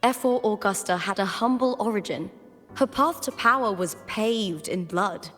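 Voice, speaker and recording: A woman voicing a frank, confiding young female gladiator in a video game, explaining things in a measured, storylike way. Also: mid-range voice, fairly bright tone, long reverb tail, soft background music, close to an everyday voice. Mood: grave, informative.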